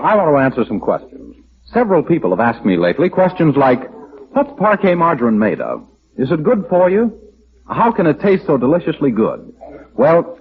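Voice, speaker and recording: An American man announcing in a polished, emphatic 1940s radio sponsor style. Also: mid-range voice. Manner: polished, emphatic sales delivery. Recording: dull vintage radio, faint noise.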